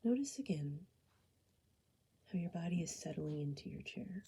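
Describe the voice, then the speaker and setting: Husky, breathy woman guiding a meditation. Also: lowish voice, lively intonation. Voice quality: soft, breathy, often falling into a whisper. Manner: gentle, unhurried, soothing instruction. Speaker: meditation guide. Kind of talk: guided body scan meditation.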